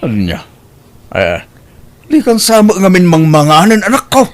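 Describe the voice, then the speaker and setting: Radio comedy drama role: doting elderly dad, played by a man, very animated, slightly high, nagging kindly. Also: normal speed. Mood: fussing, coaxing. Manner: fussing, coaxing, exclamatory. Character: doting, fussing old father of a grown daughter. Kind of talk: radio-style Ilocano comedy drama acting.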